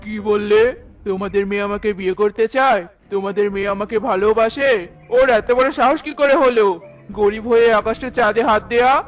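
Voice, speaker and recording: A man's voice: high voice, moderate pace, faint music behind, close to an everyday voice. A man voicing a haughty, cruel king in a cartoon, imperious and scolding. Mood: outraged. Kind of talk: dramatic cartoon voice acting.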